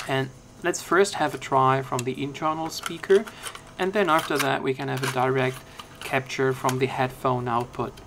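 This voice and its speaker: A man's voice: mid-range voice, steady tone, moderate pace, background noise. A man narrating a tech review in a casual way.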